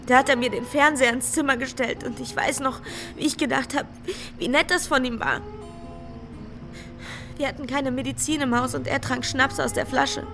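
An actress playing a desperate, frightened young prostitute on the run in a radio crime drama, emotionally raw and pleading. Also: female voice, sits high. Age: young adult.

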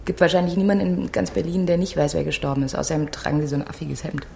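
An actress playing a blunt, tired, sharp-tongued heiress in casual radio drama dialogue. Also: female voice, low voice, quick pace, gravelly, a little hiss or hum. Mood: weary, blunt. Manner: blunt, offhand.

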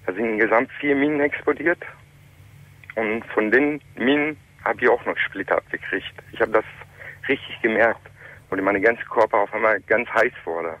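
A man in his late thirties from Iran, calling in to a radio talk show and telling his story in halting, German. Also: on the low side. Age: 30s. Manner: halting, plain non-native German. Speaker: caller. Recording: muffled telephone line.

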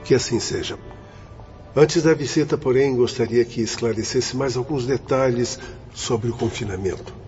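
An actor playing an authoritative spirit doctor in a Brazilian radio drama, formal and measured as he instructs. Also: medium pitch, lightly acted. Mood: composed, instructive. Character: composed, authoritative male spirit doctor guiding apprentices. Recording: dull lo-fi radio drama, loud music behind.

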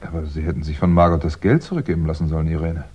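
An actor playing a polite, brooding, middle-aged crime writer in a 1960s German radio play, speaking in a measured, dryly understated way. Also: male voice, a bass voice, fairly even intonation, darkish tone, slightly rough. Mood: concerned. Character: hunted male crime novelist, polite, brooding, wry. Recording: dull 1960s radio recording.

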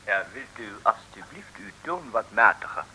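An actor playing a pompous, stuffy mayor in a 1950s comic radio serial, formal and easily affronted. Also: male voice, lowish voice, sing-song, moderate pace, sharp tone, slight background noise, full character voice, poor audio. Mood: indignant.

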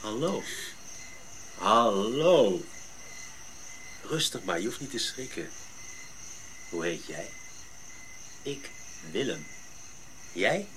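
An actor playing a gentle, measured member of a Dutch expedition in a radio drama. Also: male voice, fairly low pitch, animated, slight background noise, exaggerated voice. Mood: gentle, coaxing. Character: Willem, gentle Dutch expedition member recounting his journey. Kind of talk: radio drama narration and dialogue.

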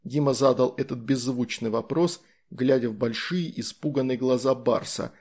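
Male audiobook narrator, mid-pitched voice. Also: steady tone, normal speed, a little mellow, breathy edge. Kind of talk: scripted story narration.

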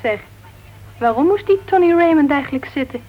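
An actress playing an outspoken, flirty married woman who writes crime novels, brisk and blunt, in a Dutch radio play. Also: high voice, lively intonation. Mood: curious, dry. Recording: dull, noisy old radio recording.

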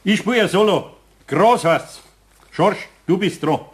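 An actor playing an older, grumbling but good-natured Bavarian craftsman in a German radio play, with a husky voice. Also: sits high, slow pace, background noise. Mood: grumbling, dismissive.

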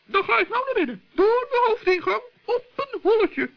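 Plucky little gnome: squeaky, creaky, swooping, excitable; muffled old radio play. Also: male voice, normal speed, exaggerated voice. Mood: determined, pondering. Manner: excitable, stammering, repeats himself. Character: little forest gnome, plucky, bossy and earnest. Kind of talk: 1960s children's radio play.

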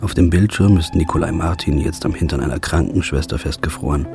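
A man with a very low voice narrates in a flat, brooding noir manner. He keeps his delivery understated and heavy, with clear music running behind. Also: a little fast. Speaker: narrator and lead. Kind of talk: first-person noir narration.

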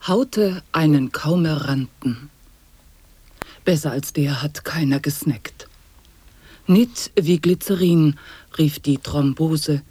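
A woman acting a sly commentator on absurd wordplay in an avant-garde radio play, husky and mellow in voice. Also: deep voice, takes it slowly, pauses often, heavily acted. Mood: mock narrative.

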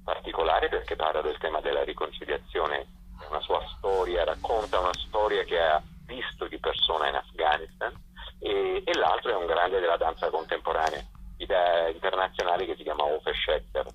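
A festival director, a man, speaking in a radio phone interview and often pausing with fillers as he searches for words. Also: deep voice, breathy edge. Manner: hesitant, with frequent fillers. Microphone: telephone. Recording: dull phone line.

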